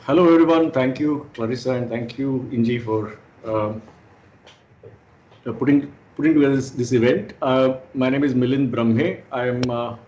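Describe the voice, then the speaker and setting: A man's voice: medium pitch, fairly even intonation, moderate pace, a little mellow, slight background noise. A man from India with an Indian accent, giving a talk over a video call as a guest speaker, articulate but with frequent fillers. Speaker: guest speaker, German studies scholar. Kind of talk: online presentation talk. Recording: muffled video call audio.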